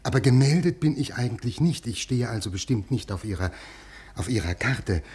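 A man's voice: mid-range voice, expressive, a little fast, a little mellow, breathy edge. An actor playing an anxious scholarly man who pleads and over-explains earnestly, in a 1950s German radio play. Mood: nervous, earnest. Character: anxious, pleading, scholarly male literary historian.

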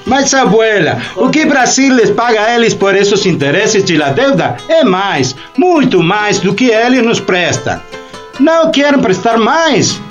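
An actor playing an eager, reassuring young Brazilian grandson in a radio drama. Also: male voice, high voice, very animated, measured pace. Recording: dull lo-fi, music behind.

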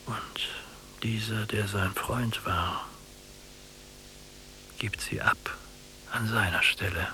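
An older man acting in a literary radio drama as a bitter, mistrustful old blind prisoner, halting and brooding. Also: on the low side, steady tone, pushed and bright, slight background noise, exaggerated voice. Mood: bitter, despairing. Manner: slow, halting, brooding delivery.

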